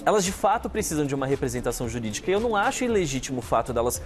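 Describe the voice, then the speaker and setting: A Brazilian man in his thirties comments on celebrity gossip in an informal, explanatory way. He speaks very quickly and with lively swings in pitch, over faint background music. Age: 30s.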